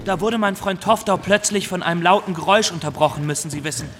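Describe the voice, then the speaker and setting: Earnest, higher-voiced young pirate storyteller. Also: male voice, quick pace, fairly bright tone, slight background noise. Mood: eager, insistent. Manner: earnest, formal, addresses listener directly. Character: earnest young Somali graduate turned pirate, naively solemn storyteller. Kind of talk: radio play first-person storytelling.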